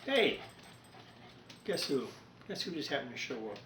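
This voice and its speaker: A man chatting casually in an unhurried, rambling, folksy way, as a hobbyist host of a home repair video recorded indoors. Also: mid-range voice, big pitch swings, heavy background noise.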